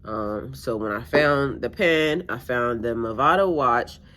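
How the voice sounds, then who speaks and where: A woman's voice: extremely low pitch, measured pace, fairly bright tone. A middle-aged woman chats to camera as a tarot reader, drifting from thought to thought with plenty of ums and restarts. Her nose sounds a bit stuffy. She sits at home with a faint hiss behind her. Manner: meandering, with many fillers and restarts. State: slightly stuffy-nosed. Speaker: tarot reader and spiritual coach. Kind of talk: casual rambling monologue to camera.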